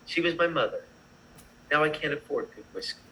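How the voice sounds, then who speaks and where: A man's voice: fairly high pitch, expressive, fairly quick, sharp tone, slight background noise, low-quality recording. A man playing a cynical, hard-drinking tax auditor in a screenplay table read, dry and sarcastic. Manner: dry, sarcastic, gruffly blunt.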